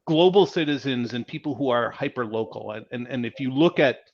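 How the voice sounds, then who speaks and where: A man's voice: medium pitch, fairly quick. Man, 40s, panelist, stammering humorous storytelling, dull lo-fi video call audio. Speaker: panelist, software executive. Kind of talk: panel discussion.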